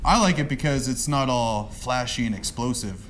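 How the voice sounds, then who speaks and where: A man's voice: mid-range voice, normal speed, over a noisy crowd. A young man co-hosting a casual podcast, wry and blunt, often laughing through his opinions. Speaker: podcast co-host. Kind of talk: casual chat.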